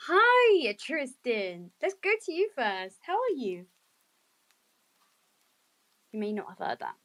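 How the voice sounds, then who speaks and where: A woman's voice: sits high, huge pitch swings, takes it slowly, fairly bright tone. A woman hosting a live online audio chat, bubbly, upbeat and chatty as she welcomes her speakers. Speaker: host. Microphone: remote audio stream.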